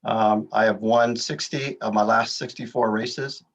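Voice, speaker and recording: A man's voice: on the low side, fairly even intonation, a little slow, lo-fi sound. A man in his sixties, a triathlete, giving an upbeat testimonial and listing his race results. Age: 60s. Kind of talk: testimonial interview.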